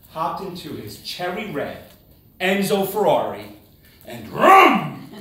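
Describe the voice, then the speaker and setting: A man giving a rehearsed, humorous storytelling speech in a theatrical manner, with dramatic pauses and exclamations. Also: on the high side, sharp tone. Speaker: contest speaker. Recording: very echoey room, distant microphone.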